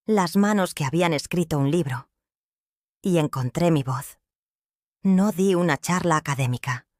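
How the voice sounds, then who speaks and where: An older woman with a Mexican accent narrating her own life story in a slow, heartfelt, reflective way. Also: deep voice, a little mellow, no echo, clean studio sound. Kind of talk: first-person storytelling narration.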